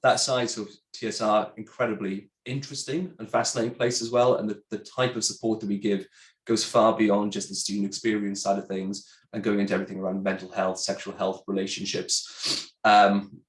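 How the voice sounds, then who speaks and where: Man, congested, low-ish voice, halting chatty presenter, roomy echo. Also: level tone. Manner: chatty, many ums and restarts. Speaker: sales director presenting a webinar. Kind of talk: webinar presentation.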